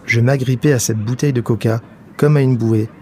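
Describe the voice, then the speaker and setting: A man reading scripted first-person narration from a novel, at a middle pitch. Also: fairly even intonation, a little fast, a little mellow. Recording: some background noise and ambience.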